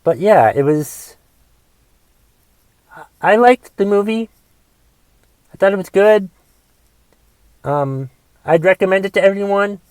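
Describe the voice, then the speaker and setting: A man in his forties with a raspy voice from a cough, casually rambling through a movie review on his podcast and thinking aloud with lots of fillers. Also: high-pitched, expressive, slow, long pauses, a little mellow, no room sound. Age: 40s. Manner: thinks aloud, musing questions, trailing fillers. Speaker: podcast host.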